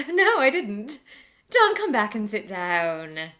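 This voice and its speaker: A woman's voice: a little high, wildly swooping pitch, slow, pushed and bright, slight background noise, full character voice. A woman playing a bewitched young fiancée, secretive and melodramatic, in an old-time radio play. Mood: coy.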